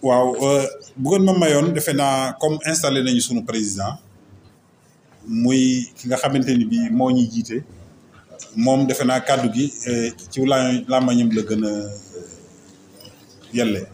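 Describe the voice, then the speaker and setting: A man with a Senegalese accent speaking as a local mayor, making a semi-formal announcement in a halting, list-like way. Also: medium pitch, steady tone, a little mellow. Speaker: local mayor and association vice-president. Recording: roomy echo, faint background noise.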